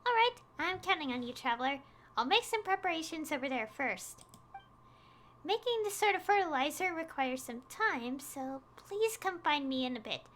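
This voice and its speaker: A woman reading aloud a puzzled, earnest villager man in a video game, a little haltingly. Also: sits high, sing-song, fairly bright tone, slight background noise. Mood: polite request. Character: puzzled, earnest farmer villager man tinkering with homemade fertilizer.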